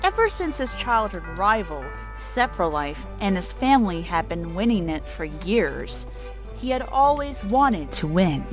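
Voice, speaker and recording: A woman's voice: medium pitch, sing-song, unhurried. A woman narrator reading a children's-style story as a scripted dramatic reading. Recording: music under the voice.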